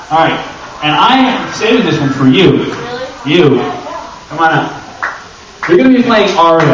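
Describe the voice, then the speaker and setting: A man giving an informal, chatty panel talk to an audience, joking and addressing the crowd directly as he presents. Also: a little high. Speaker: panel presenter and audio drama creator. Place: indoor convention panel room. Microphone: distant camera microphone. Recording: very echoey room, distant, muffled and noisy.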